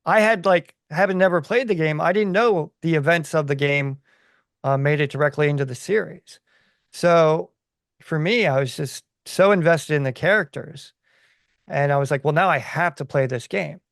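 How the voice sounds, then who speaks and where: Gravelly man chatting on a podcast. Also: on the high side, moderate pace, dry recording, studio quality. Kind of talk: casual opinion chat.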